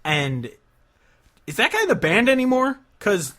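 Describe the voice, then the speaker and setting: A man's voice: high voice, sing-song, unhurried, bright, forceful tone. A man co-hosting a casual music discussion, talking in a blunt, exasperated way with some swearing. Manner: blunt, profane, exasperated complaining. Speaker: podcast co-host.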